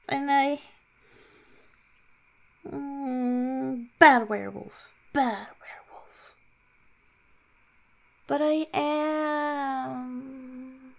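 A woman acts out a cute young female werewolf in an intimate roleplay. Her voice is high and her delivery very slow and halting. She comes across as pouty, flustered and unsure of herself. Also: heavily acted. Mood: dejected, self-deprecating. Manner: halting, pouty, self-deprecating. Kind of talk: improvised ASMR roleplay, talking to listener.

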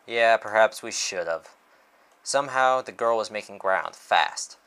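Man acting a low, weary noir detective. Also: measured pace, a bit bright, clean tone. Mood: urgent. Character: weary, irritable male detective narrating a noir story. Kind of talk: dramatic first-person story reading.

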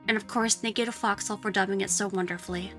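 A woman's voice: mid-range voice, fairly even intonation, quick pace, pushed and bright, faint music behind. A woman narrator reading out a written note as a prepared statement. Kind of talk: read statement.

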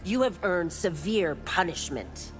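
Stern, lowish-voiced law enforcer. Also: female voice, lively intonation, measured pace. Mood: stern authority. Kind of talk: video game fight intro dialogue. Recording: loud music under game dialogue.

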